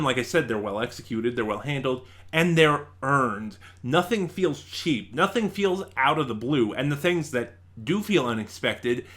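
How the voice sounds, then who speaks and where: A man giving a casual, enthusiastic review in a ranking countdown, speaking haltingly with emphatic stresses. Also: on the high side, a bit bright. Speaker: reviewer and channel host.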